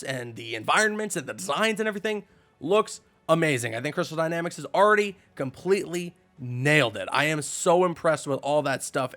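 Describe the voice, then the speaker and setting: A man giving casual, excited reaction commentary as a video host, emphatic and given to repeating himself. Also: on the high side. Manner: enthusiastic, emphatic, repeats phrases. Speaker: YouTube host reacting to a game showcase.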